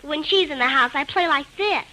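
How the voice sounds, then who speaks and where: Woman acting a high, sulky young girl. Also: brisk, close to an everyday voice. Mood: cheeky, defiant. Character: sulky, cheeky twelve-year-old girl. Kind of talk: 1940s radio acting. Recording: hissy old radio drama recording.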